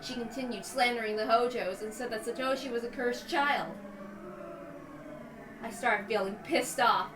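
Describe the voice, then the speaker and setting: A woman's voice: a little high, moderate pace, echoey room, noisy background. A woman reading a visual novel aloud as a dramatic first-person narration. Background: background music.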